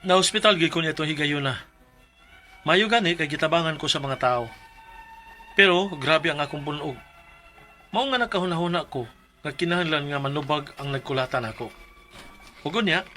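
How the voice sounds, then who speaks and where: Hardworking young Cebuano courier for radio drama. High-pitched, deferential, pleading. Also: male voice, normal speed, long pauses, pushed and bright, faint music behind. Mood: weary, resolved. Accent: Cebuano Filipino. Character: polite, hardworking young male parcel delivery rider. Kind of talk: Bisaya radio drama acting.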